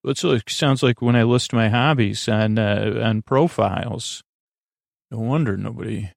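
A man hosting a bedtime podcast in a rambling monologue, halting and stammering with frequent false starts and self-corrections, in a gravelly voice. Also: fairly low pitch, no room sound, studio quality. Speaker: podcast host.

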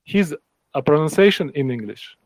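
A middle-aged man with a Ukrainian accent talks through his thoughts in a panel chat, stumbling and restarting as he hunts for words. His voice sits at an ordinary pitch and comes across close and dry, with little room around it. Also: lively intonation, darkish tone, dry recording. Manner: halting, searching for words, many restarts. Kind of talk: casual group discussion.

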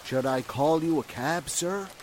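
A man's voice: on the high side, unhurried, very noisy, lightly acted. An actor playing a polite, deferential doorman in an old-time radio drama. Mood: obliging. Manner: polite, servile. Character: deferential, chatty male doorman.

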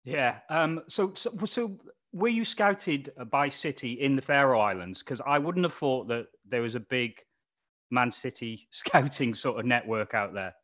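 A male interviewer with a mid-pitched voice, heard through a muffled, lo-fi recording. He puts his questions casually and chattily, as an informal host would. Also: animated, unhurried, fairly bright tone. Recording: dull, lo-fi sound.

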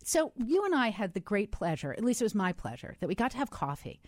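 A woman interviewer from the United States, asking questions in a warm, attentive manner. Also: mid-range voice, very animated, fast talker, no echo.

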